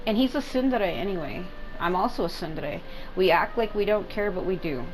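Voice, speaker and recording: Female gamer, mid-pitched voice, rambling casual commentary, sweary, clear game music behind. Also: lively intonation, fairly quick, low-quality recording. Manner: rambling, informal, profane. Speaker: gaming video commentator.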